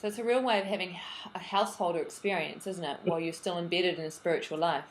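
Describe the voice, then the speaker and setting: A woman interviewer asking gentle, halting questions and murmuring agreement. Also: lowish voice, fairly even intonation, slight background noise. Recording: roomy echo with faint ambience.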